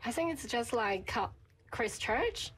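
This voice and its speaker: A young woman from China with a Chinese accent, a radio interview guest who speaks English haltingly and searches for words. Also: on the high side, expressive, a bit bright, soft background music. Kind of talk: interview answers. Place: radio studio.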